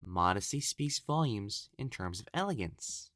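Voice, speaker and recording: A man's voice: fairly low pitch, animated, unhurried, fairly bright tone, no echo, clean studio sound. A man voicing an elegant, effeminate male matchmaker in a scripted audio roleplay, prim and formal, haughty yet easily flustered. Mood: haughty, instructive.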